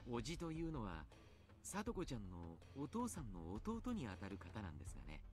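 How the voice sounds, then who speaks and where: An actor playing a soft-spoken, grave male doctor in a Japanese visual novel, speaking politely and choosing his words with care. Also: medium pitch, huge pitch swings, racing, over music.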